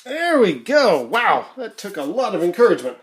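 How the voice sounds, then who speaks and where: Animated casual vlogger from Canada. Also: medium pitch, normal speed, noisy background. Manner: rambling, hesitant, thinking aloud. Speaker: YouTuber. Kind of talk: casual unboxing vlog.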